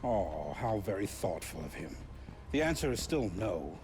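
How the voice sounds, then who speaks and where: A man's voice: lowish voice, very animated, normal speed. A man playing a smug, defiant conspiracy villain in a video game, with a sardonic, condescending manner. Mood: dismissive, sarcastic. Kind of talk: video game cutscene acting.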